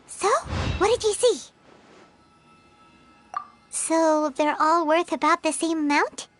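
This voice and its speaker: A woman voicing a tiny childlike fairy companion in a video game, perky and outspoken, with a squeaky, exclamatory delivery. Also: slow pace, soft background music. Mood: upbeat, helpful. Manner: squeaky, exclamatory, refers to self by name. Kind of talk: video game character dialogue.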